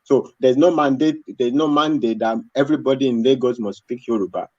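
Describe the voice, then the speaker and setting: A man's voice: medium pitch, brisk, dark tone, dry recording. A man making his case on a live panel, insistent and repeating his points. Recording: dull lo-fi sound.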